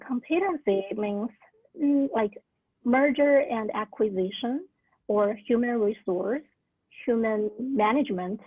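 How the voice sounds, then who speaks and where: A woman's voice: medium pitch, husky, no echo. A young woman in her twenties, not an English speaker, earnestly sharing career tips on a video call, with many "like" and "uh" fillers. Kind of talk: casual talk at a virtual orientation. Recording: narrow tinny call audio.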